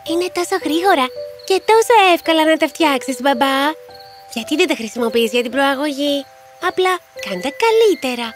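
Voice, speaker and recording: A woman's voice: high-pitched, animated, soft background music. A woman voicing a proud, inventive young girl devoted to her father in a cartoon fairy tale. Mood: curious, eager.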